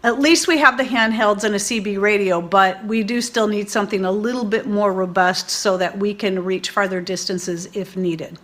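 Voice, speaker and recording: A woman's voice: mid-range voice, steady tone, normal speed, hardly any pauses, fairly bright tone. A woman giving a casual product review as a video host, in a chatty, informal, rambling way. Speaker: host of a homesteading and preparedness video channel. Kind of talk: casual product review vlog, talking to viewers.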